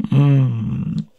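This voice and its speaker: A man playing a tender young vampire fiancé in an intimate ASMR roleplay, with a breathy, husky, often whispering voice and halting, sentimental, poetic phrasing. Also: mid-range voice, narrow, tinny audio, exaggerated voice. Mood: adoring, emotional.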